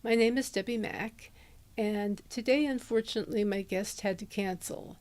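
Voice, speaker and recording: A woman's voice: mid-range voice, moderate pace, darkish tone. A woman introducing a podcast episode as host, speaking into a handheld dynamic microphone. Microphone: handheld dynamic USB microphone.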